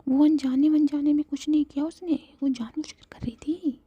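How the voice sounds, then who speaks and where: A woman's voice: high voice, steady tone, normal speed, dark tone, no echo, voice pushed up, close to an everyday voice. A woman whispering the part of a blunt, slangy young female friend.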